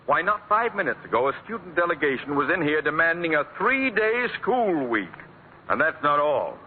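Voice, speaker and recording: A blustering pompous school principal. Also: male voice, a little high, sing-song, moderate pace. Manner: pompous, blustery, barks orders. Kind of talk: 1940s radio comedy acting. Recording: tinny old radio broadcast with hiss.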